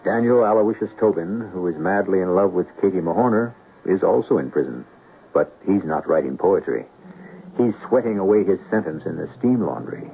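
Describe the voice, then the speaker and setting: A man hosting and narrating a scripted radio drama in a wry, measured, theatrical manner. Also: sits low, lively intonation, gravelly, a little hiss or hum. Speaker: host and narrator. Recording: tinny radio broadcast with music. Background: music.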